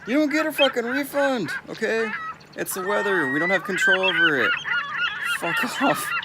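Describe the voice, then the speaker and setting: A man's voice: very high voice, wildly swooping pitch, moderate pace, very noisy. A young man from California with a Californian accent, rambling bluntly and swearing freely in a casual vlog. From California, US West Coast. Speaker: vlogger.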